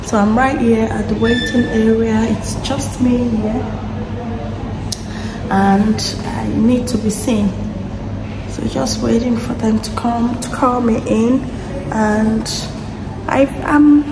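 A woman in her thirties with a hoarse, sore-throated voice, chatting to camera in a casual vlog and explaining each step as she goes. She talks into a phone held at arm's length. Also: a little high, fairly even intonation, slow pace, pauses often, heavy background noise. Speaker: vlogger. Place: hospital waiting area, indoors. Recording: echoey room, loud background ambience.

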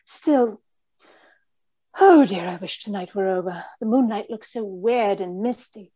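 A woman's voice: mid-range voice, animated, a little slow, warm tone. A woman playing a frightened, earnest young lady in an old-time radio mystery, with a breathless, hesitant manner. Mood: uneasy. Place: indoor room on a video call.

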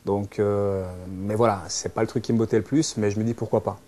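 Mellow-voiced Belgian man in his thirties. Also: fairly low pitch, little pitch movement, brisk. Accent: Belgian French. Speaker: interviewee, dance teacher and social worker. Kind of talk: conversational interview, recounting own life. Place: small furnished lounge indoors.

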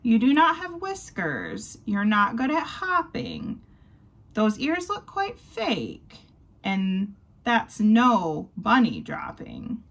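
A woman voicing a small, bossy but kindly male mouse in a storybook, squeaky, exclamatory and encouraging. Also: huge pitch swings, takes it slowly, fairly bright tone, dull audio, exaggerated voice. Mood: critical, excited.

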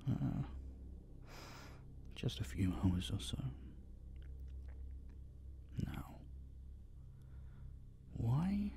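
A male voice actor plays an obsessive masked yandere kidnapper in an intimate audio roleplay. His low, gravelly voice moves slowly, slipping into murmurs as he taunts and dotes in a condescending, menacing way. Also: slight background noise, exaggerated voice. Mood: hushed, gloating. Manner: soft, taunting, condescending, drawn-out murmurs and whispers. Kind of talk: intimate audio roleplay monologue addressed to the listener.

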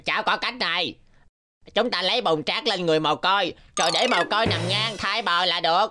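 A woman voicing a scheming, callous adult villager in a cartoon, brisk and conniving. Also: fairly low pitch, bright, forceful tone, soft background music. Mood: sly, brisk.

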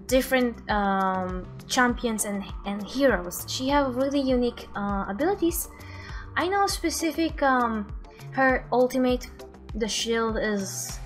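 Slow, halting young woman reacting casually. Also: medium pitch. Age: 20s. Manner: hesitant, halting phrasing. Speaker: streamer reacting to game videos. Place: small room at home.

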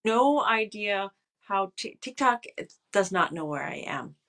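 A woman in her fifties, a political scientist, talking thoughtfully over a video call from a room at home, often pausing to restart her phrases. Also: medium pitch, lively intonation, fairly bright tone. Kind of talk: casual video call interview. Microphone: video call webcam.

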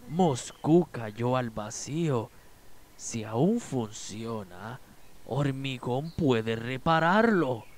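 A man's voice: medium pitch, gravelly, slight background noise, heavily acted. A man with a Puerto Rican accent voicing a boastful, stubborn villainous robot ant in a cartoon, speaking pompously of himself in the third person. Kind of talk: cartoon voice acting. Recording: loud music under the voice.

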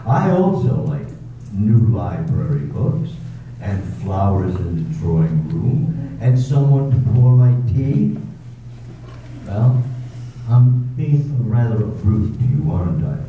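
A man playing a brusque rich gentleman. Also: fairly low pitch, very animated, slow, phone-quality sound, slight background noise. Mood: teasing. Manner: dry, teasing, curt. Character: brusque wealthy older gentleman proposing. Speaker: amateur readers theater actor. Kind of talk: staged radio drama reading. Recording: echoey hall, far from mic, phone-thin sound.

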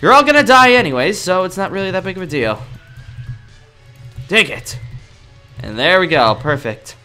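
Animated young man doing bright gaming roleplay. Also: sits high, moderate pace, smooth, faint music behind. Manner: dramatic, cocky, excitable. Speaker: gaming roleplay video creator. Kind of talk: improvised in-character gameplay roleplay.

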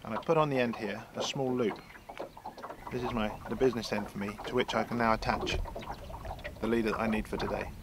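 A man's voice: fairly low pitch, steady tone, a little fast, creaky. A man in his sixties, a fishing guide, explaining his tackle setup in a chatty way from a small boat on a lake. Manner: chatty, explanatory, many restarts. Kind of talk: outdoor how-to demonstration. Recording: outdoor wind and water noise.

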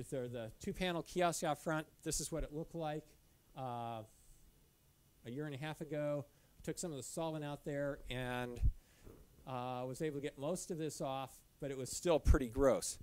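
Middle-aged guy presenting slides in a dark lecture room, stops and restarts a lot, sounds a bit off-mic. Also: a little high. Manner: loose, halting, frequent restarts and fillers. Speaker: caving club presenter. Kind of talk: conference slide talk. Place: dim lecture room with projector screen.

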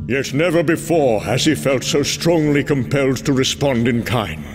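A man's voice: mid-range voice, lively intonation, normal speed. Grave man narrating a dramatic comic. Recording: loud music underneath.

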